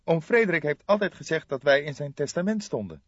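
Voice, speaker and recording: A man reading an audiobook, voicing a distraught, hesitant younger man who pleads for help in a halting, emotional, trembling way. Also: fairly high pitch, lively intonation, no room sound, muffled recording, voice pushed up. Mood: anxious, pleading. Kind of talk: audiobook character voice.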